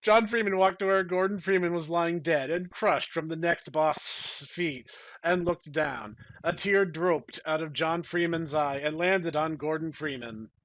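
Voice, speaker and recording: A man's voice: a little high, a little slow, a bit bright, dry recording. A man co-hosting a comedy podcast, moving between dramatic story reading and casual banter. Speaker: podcast co-host.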